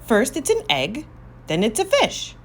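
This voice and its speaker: A woman's voice: fairly high pitch, wildly swooping pitch, a little slow, voice pushed up, heavily acted. A woman voicing plucky, earnest villagers in a children's storybook, with an emphatic delivery. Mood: eager guessing.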